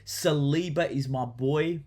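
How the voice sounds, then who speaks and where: A man's voice: fairly high pitch, slow, a little mellow. A young Australian man chatting casually to camera about his fantasy football team, enthusiastic, with lots of ums and restarts. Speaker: football vlogger.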